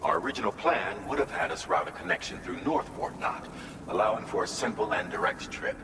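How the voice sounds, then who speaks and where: A man playing a composed, formal mission controller in a video game, speaking in measured, articulate and polite briefings. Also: lively intonation. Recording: echoey hall, distant, noisy rumbling ambience.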